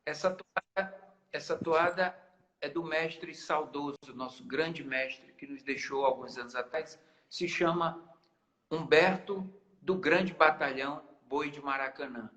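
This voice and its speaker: A man's voice: fairly high pitch, a little slow, bright, forceful tone, low-quality recording. A man, a guest musician in a casual live-stream interview, explaining patiently and often restarting his phrases. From Maranhão, Brazil. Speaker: guest musician, band founder.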